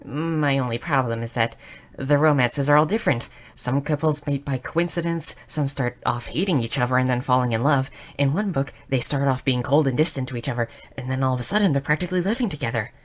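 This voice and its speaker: Casting: a woman as a bubbly, bookish goblin girl for audio roleplay, low-pitched, inquisitive, with a slight nervous stammer. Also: fairly quick. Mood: earnest, fascinated. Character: shy, bubbly, bookish young goblin girl, eager and curious. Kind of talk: scripted audio roleplay addressed to the listener.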